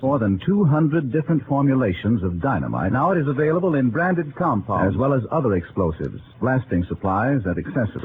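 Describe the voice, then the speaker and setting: A man with an American accent reading formal, emphatic 1940s radio commercial copy as an announcer. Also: medium pitch. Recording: tinny, very noisy old radio recording.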